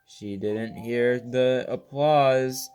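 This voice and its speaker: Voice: medium pitch, fairly even intonation, very slow, darkish tone, clean tone, soft background music. A gaming video host reading a game's story text aloud, often stumbling over words and rereading them, with casual reactions.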